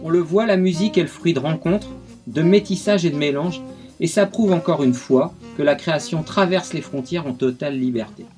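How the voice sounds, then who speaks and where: A man's voice: on the high side, fairly quick, warm tone. A man giving a radio music history segment, telling the story with enthusiasm.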